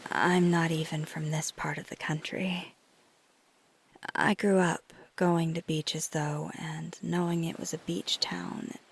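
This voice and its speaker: A woman playing a flirty, tender vampire in an intimate ASMR roleplay, speaking softly and gently, mostly in a breathy whisper. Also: fairly low pitch, a little hiss or hum. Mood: wistful. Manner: slow, gentle, hesitant, teasing.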